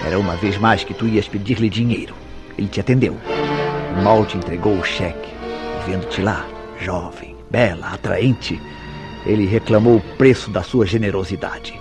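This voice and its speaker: An actor playing a jealous, tormented lover in a radio soap opera, accusatory and declamatory, with formal diction and a Brazilian accent. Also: male voice, fairly low pitch, normal speed, close to an everyday voice. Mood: grim, accusing. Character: jealous, tormented male lover interrogating his beloved. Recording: radio drama with prominent background music.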